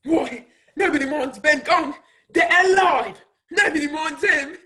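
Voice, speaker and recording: A woman playing a jittery, superstitious pirate in a radio play, speaking in drawn-out melodramatic exclamations. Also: high voice, pushed and bright. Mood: dismissive. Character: frightened, superstitious pirate crew member. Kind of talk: stage-style radio play acting.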